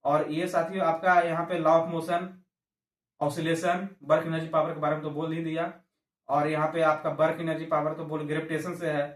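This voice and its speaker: Echoey, higher-pitched male coaching teacher. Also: flat delivery, moderate pace. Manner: repeats and restarts phrases, mixes in English terms. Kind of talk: informal explanatory lecture to exam aspirants. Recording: echoey room, distant microphone.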